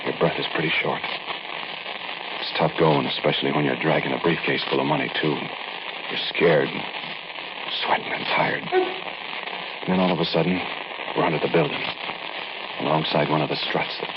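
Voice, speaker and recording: A frightened, weary crook narrating an old radio drama in an extremely low American voice. He is brooding and plain-spoken, halting between short phrases. Heavy crackle and a muffled band cover the vintage recording. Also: fairly even intonation. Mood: brooding, uneasy. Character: weary, frightened male robber narrating his story. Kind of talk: 1940s radio drama acting, hushed first-person narration.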